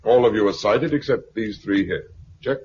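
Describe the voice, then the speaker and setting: An actor with a British accent playing a smooth, officious, condescending self-appointed commander in a 1950s radio drama. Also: male voice, fairly low pitch, moderate pace, a little mellow, natural voice. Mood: coolly authoritative. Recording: muffled, hissy 1950s radio recording.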